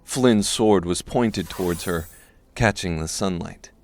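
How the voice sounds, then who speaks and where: A man narrating a scripted dark fantasy audiobook. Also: low-pitched, takes it slowly.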